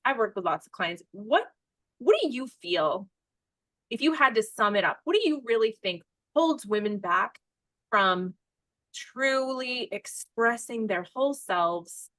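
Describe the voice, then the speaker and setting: A woman hosting a conversational podcast at a middle pitch, recorded close and dry with no room echo. She talks reflectively and often thinks aloud mid-sentence. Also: fairly bright tone. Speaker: podcast host.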